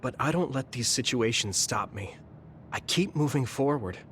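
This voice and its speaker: A man playing an earnest, steady young soldier in a video game, speaking in a measured, kindly way. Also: mid-range voice, a little hiss or hum, close to an everyday voice. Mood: gentle, encouraging. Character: earnest young male soldier, kind, steady, easily exasperated. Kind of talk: English video game voice acting. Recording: music behind the voice.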